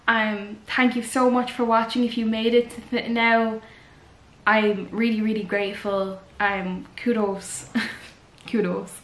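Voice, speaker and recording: A woman's voice: fairly high pitch, flat delivery, slow, a bit bright. A young woman vlogger giving earnest, rambling advice to the camera in a casual chat. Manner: chatty, earnest, rambling with fillers. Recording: echoey room.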